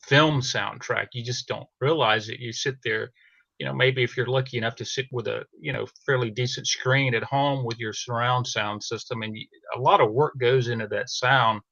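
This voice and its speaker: A man's voice: medium pitch, sharp tone, no echo, muffled recording. A middle-aged man talking casually as a podcast guest in an interview. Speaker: podcast guest, audio drama producer.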